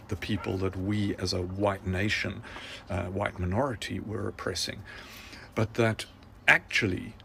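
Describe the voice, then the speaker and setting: A man with a very low voice talks in an impassioned, insistent way, stacking point on point. A faint hum of room ambience sits under his speech. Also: creaky, fairly bright tone. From South Africa. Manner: earnest, insistent, building lists. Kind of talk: impassioned monologue.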